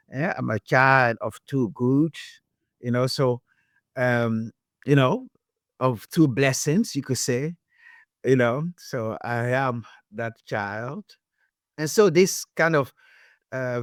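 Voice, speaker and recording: A man from Liberia, an author being interviewed, speaking thoughtfully with frequent pauses and fillers. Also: lowish voice, no room sound, studio quality. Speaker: author guest. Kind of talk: reflective interview talk.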